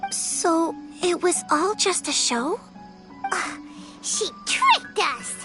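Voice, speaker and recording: A woman voicing a small, excitable, childlike fairy companion in a video game, exclaiming and easily scared. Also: very high-pitched, drawn-out words, loud music behind the voice, exaggerated voice. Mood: indignant, questioning. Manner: refers to herself in third person, exclaiming, easily scared.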